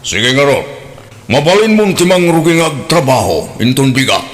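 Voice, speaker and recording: A man's voice: medium pitch, very animated, moderate pace, close to an everyday voice. A man playing a stern, easily exasperated household boss in an Ilocano radio drama, scolding emphatically with a Filipino accent. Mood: firm. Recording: long hall reverb.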